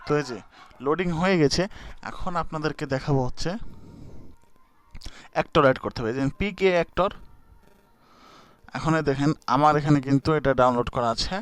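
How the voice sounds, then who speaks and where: A man's voice: on the high side, a little fast, long pauses. A young man walking viewers through a video app in an informal, hesitant way, with many fillers and restarts. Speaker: YouTube tutorial host. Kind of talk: casual screen-recorded app tutorial.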